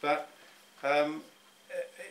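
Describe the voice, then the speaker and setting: A man's voice: on the high side, little pitch movement, extremely slow, fairly bright tone, a little hiss or hum, poor audio. A middle-aged man, speaking in an interview and often restarting his words as he thinks. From England. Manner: thoughtful, many restarts and repeated words. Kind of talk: reflective personal interview.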